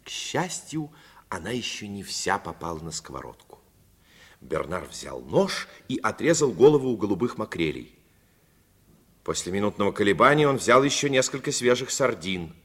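A man's voice: lowish voice, sing-song, moderate pace, breathy edge. A man giving scripted dramatic narration in a 1950s radio play. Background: music.

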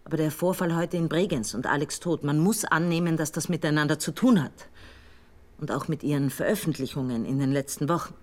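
A calm intelligence colonel. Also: female voice, low-pitched, a little fast. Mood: controlled. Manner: calm, controlled interrogator. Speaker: intelligence officer.

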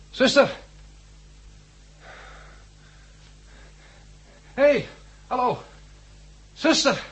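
An irritable, animated hospital patient. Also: male voice, a little high, breathy edge, heavily acted. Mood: agitated, calling out. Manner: grumbling, sardonic, talking to himself. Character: irritable, sardonic male hospital patient with bandaged eyes. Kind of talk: 1960s Dutch radio drama acting. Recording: hissy 1960s radio recording.

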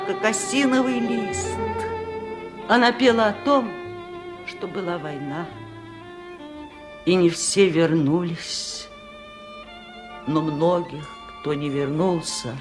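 An actress playing a wise, kind and slightly stubborn old village aunt in a 1970s radio drama, speaking slowly in a low voice. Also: female voice. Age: older.

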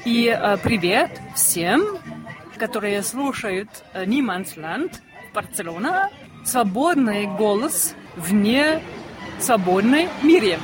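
A woman's voice: mid-range voice, animated, slow, poor audio. A woman from eastern Germany, a native German speaker with a German accent, giving a short radio greeting as a language teacher. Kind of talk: short spoken station greeting. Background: music.

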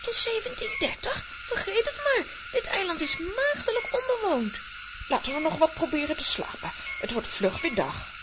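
A woman playing a bossy, excitable aunt in a children's adventure audio drama, theatrical and exclamatory. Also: very high-pitched, moderate pace, over loud music, rough recording. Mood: instructing. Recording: loud ambient noise behind.

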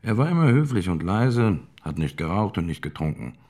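An actor playing a strict, plain-spoken hotel owner giving a measured witness account in a radio drama. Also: male voice, low voice, very animated, dark tone, exaggerated voice. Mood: matter-of-fact. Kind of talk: acted witness statement in a radio documentary drama.

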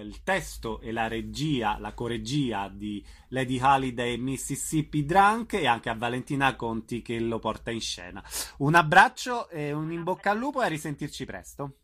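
A man hosting a conversational radio interview, courteous and measured as he prompts his guest. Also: medium pitch, fairly bright tone.

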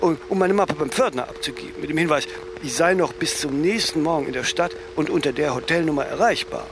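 An actor playing an imperious, self-mythologizing older fashion designer in a German radio play, speaking haughtily in aphorisms and tagging sentences with "ne?". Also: male voice, a little high, brisk, background noise, lightly acted. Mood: grand, reminiscing.

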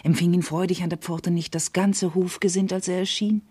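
An actress playing a loyal, sensible confidante in a classical German verse radio play, measured, deferential and reassuring. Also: female voice, on the low side, steady tone, dark tone, slightly rough, no echo, studio quality. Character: loyal female confidante soothing her princess, sensible, reassuring.

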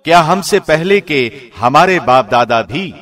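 A man reading a scripture translation formally, in the measured, solemn declamation of a radio presenter. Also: a little high, expressive, a bit bright.

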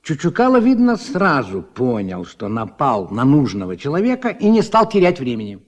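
A man narrating a radio play in a scripted, dramatic storytelling style with a husky voice. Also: mid-range voice, huge pitch swings, moderate pace.

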